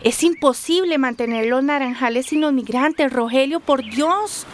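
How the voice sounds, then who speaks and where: An actress playing an exasperated, sarcastic orange grower in a radio soap opera. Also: female voice, sits high, measured pace, slight background noise. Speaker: actor in a radio drama.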